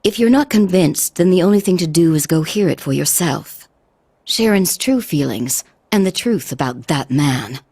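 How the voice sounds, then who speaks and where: A tough young game heroine, blunt and direct. Her voice is fairly low and husky, recorded dry. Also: lively intonation, normal speed, darkish tone, no echo. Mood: blunt, serious. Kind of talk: video game cutscene acting.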